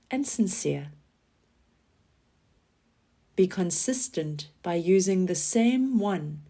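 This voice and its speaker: A woman leading a scripted guided relaxation meditation in a calm, soothing manner, giving gentle instructions with pauses. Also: fairly low pitch. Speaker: yoga nidra guide.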